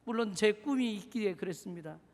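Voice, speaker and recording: A pastor from Seoul in his forties preaching a sermon, swinging from shouted exhortation to hushed, confiding asides. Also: high voice, a little fast. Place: church sanctuary. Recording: reverberant church hall.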